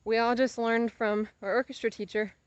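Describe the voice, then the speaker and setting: A woman's voice: a little high, little pitch movement, moderate pace, smooth, no echo, lo-fi sound. Young American woman, casual dry outdoor vlog. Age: young adult. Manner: giggly, easily sidetracked, playfully exaggerating. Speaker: vlogger. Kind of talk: casual rambling chat to camera while walking outdoors. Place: outdoors on a sidewalk, walking.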